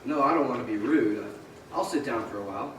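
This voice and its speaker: A man's voice: mid-range voice, fairly quick, fairly bright tone, lightly acted. An actor playing a stubborn, awkward, grumbling young small-town man in an old-time radio play. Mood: grudging. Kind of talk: old-time radio drama stage acting. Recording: echoey theater, distant mic, faint noise.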